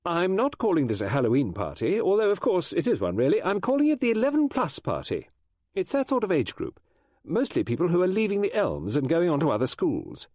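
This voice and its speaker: Man playing a brisk, bossy party hostess, high-pitched. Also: wildly swooping pitch, a little mellow, no room sound, clean studio sound. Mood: emphatic.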